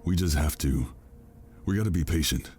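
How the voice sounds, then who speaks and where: Weary protective dad played by a man, extremely low voice, firm and grave, dramatic scene with music underneath. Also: moderate pace, darkish tone. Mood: earnest. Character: weary protective father fleeing with his child. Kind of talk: dramatic film-style acting. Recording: music behind the voice.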